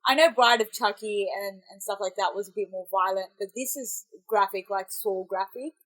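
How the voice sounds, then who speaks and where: A woman's voice: medium pitch, normal speed, a bit bright, poor audio. A young woman with an Australian accent, congested from a cold, chatting in a rambling, self-correcting way as she reacts to a film trailer. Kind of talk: casual reaction commentary.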